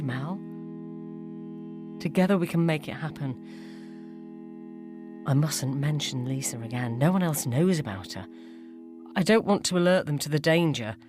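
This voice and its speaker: A woman reading a first-person ghost story as scripted dramatic narration, measured and suspenseful. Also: low-pitched. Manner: suspenseful, measured, acts out dialogue.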